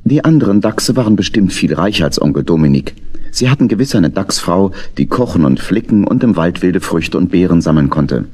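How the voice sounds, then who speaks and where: A low-voiced man tells a children's story with lively intonation. His voice is husky, and he narrates from a script. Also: fairly quick.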